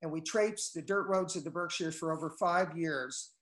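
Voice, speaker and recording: A man's voice: on the high side, moderate pace, a bit bright. Older man reading a talk, roomy echo. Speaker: cookbook co-author. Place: wood-paneled room at home. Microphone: laptop video call. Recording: echoey room on a video call.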